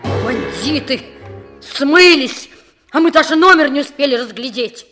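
An actress playing a bold, indignant schoolboy of about twelve in an old Soviet radio play, speaking in emphatic exclamations. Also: female voice, sits high, expressive, measured pace. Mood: urgent, frustrated. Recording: old radio drama with music.

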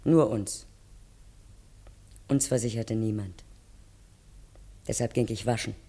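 A woman acting a weary, pleading working-class wife in a 1950s German radio drama, with earnest, deliberate phrasing. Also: rumbling low, steady tone, warm tone, heavily acted. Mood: weary, narrating. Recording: 1953 radio recording, faint music behind.

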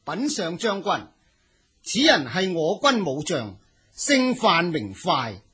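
Husky, courtly strategist. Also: male voice, high-pitched, sing-song, slow pace, sharp tone, heavily acted. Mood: deferential, smoothing over. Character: shrewd, deferential male strategist at a warlord's banquet. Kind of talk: Chinese historical radio drama acting.

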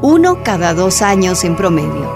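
A woman's voice: fairly low pitch, big pitch swings, normal speed, gravelly, over music. A woman reading scripted narration for a historical radio drama.

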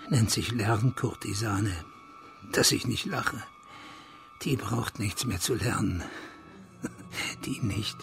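An actor playing a bitter, proud last heir of a declining family in a radio crime drama, scornful and insinuating, sometimes dropping to a breathy murmur. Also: male voice, lowish voice, fairly bright tone, heavily acted. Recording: music behind the voice.

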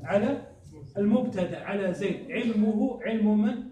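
A man's voice: sits high, moderate pace, dull audio, crowd noise. A man teaching grammar to a class, often stopping to quiz his students with prompt questions they answer aloud. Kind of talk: classroom lesson. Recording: echoey room, distant mic.

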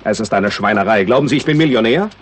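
A man's voice: fairly low pitch, animated, fast talker, a little hiss or hum, close to an everyday voice. A man playing an irritable, sarcastic tourist who feels cheated, in a 1960s radio drama. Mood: indignant. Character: indignant male tourist, cheated and sharp-tongued.

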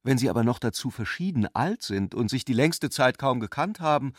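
An actor plays an intellectual brother in a literary radio drama. He is eloquent and playfully ironic, with a medium-pitched male voice, recorded close and dry. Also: lively intonation, fairly quick, no room sound, close to an everyday voice. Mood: playful irony.

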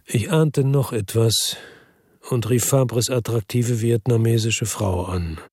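A man's voice: medium pitch, takes it slowly, darkish tone, husky, no room sound, clean studio sound. An actor playing a world-weary former policeman from Marseille, narrating his case soberly and laconically in a radio drama. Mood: weary, reflective. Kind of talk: first-person radio drama narration and dialogue.

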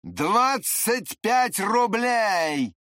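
A man voicing a huge, blunt, belligerent brawler who bellows in drawn-out shouts, in an audiobook. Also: high voice, lively intonation, dry recording, studio quality, voice pushed up, exaggerated voice. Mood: threatening. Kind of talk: dramatized audiobook character voice.

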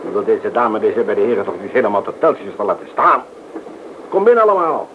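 An actor playing a pompous, insistent titled gentleman passenger, imperious and condescending, in a Dutch radio play. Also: lowish voice, lively intonation, fairly quick, close to an everyday voice. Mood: breezily pushy. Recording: noisy, tinny old radio recording.

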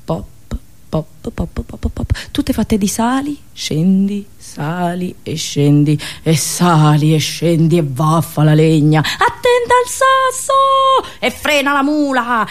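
A woman with a rural central Italian accent telling a theatrical monologue, expressive, with dramatic pauses, repetitions and slips into rustic dialect. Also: mid-range voice. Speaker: storyteller and radio show host.

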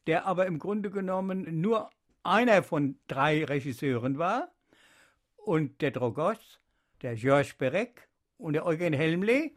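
A man reminiscing spontaneously in an interview, emphatically drawing out key words. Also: on the high side. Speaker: interviewee recalling radio productions.

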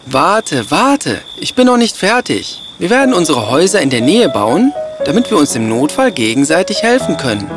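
A man's voice: fairly high pitch, big pitch swings, moderate pace, hardly any pauses, music in the background. A man voicing a wise, sensible young male pig who speaks in an earnest, preachy way in a children's fairy-tale cartoon. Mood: earnest, reasoning.

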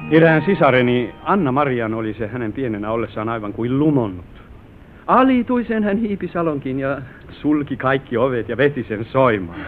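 An actor playing an affectionate, proud young newlywed husband in an old Finnish radio play, with a gallant, expressive stage delivery. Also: male voice, faint music behind, natural voice. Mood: fond, teasing. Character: affectionate newlywed husband proudly showing his bride the family manor. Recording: narrow-band, hissy vintage radio recording with rumble.

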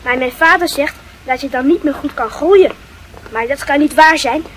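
A woman's voice: high voice, normal speed, fairly bright tone, a little hiss or hum, low-quality recording. A woman playing a prudish, indignant, gossipy schoolchild in a radio play, speaking emphatically. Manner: emphatic, repetitive.

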